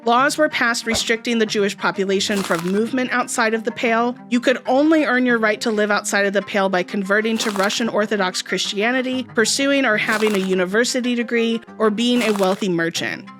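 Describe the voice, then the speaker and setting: A woman's voice: on the high side, brisk, few pauses, over music. A woman hosting an educational video, narrating history from a script in a storytelling way with dry, wry asides.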